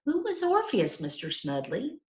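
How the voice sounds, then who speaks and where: Woman voicing an eager, high-pitched young boy. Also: wildly swooping pitch, a little slow, darkish tone, full character voice. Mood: curious, eager. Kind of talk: children's storybook character reading. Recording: roomy, slightly dull sound.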